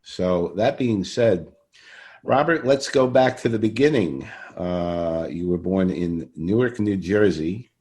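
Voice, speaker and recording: An older man hosts a conversational interview, haltingly and often repeating his phrases. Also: low-pitched, darkish tone. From Brooklyn, New York. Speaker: podcast interviewer.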